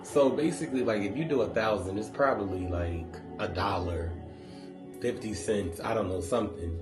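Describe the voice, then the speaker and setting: A man vlogging, telling a casual travel story in an animated, rambling way full of fillers. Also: fairly low pitch, moderate pace. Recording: echoey room with music behind.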